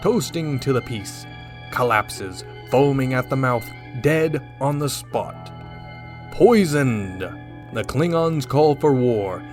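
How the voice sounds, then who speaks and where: A man's voice: medium pitch, lightly acted. A man playing a portentous announcer narrating an old-time space adventure radio serial. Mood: ominous, dramatic. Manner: dramatic, portentous delivery. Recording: loud music under the voice. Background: music.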